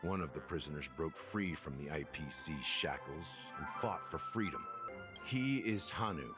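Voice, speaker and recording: A grave older officer from a video game, with a low-pitched voice. He speaks in a measured, solemn, world-weary way, like someone recounting old wars. Music plays clearly underneath. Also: lively intonation. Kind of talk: dramatic video game voice acting. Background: background game music.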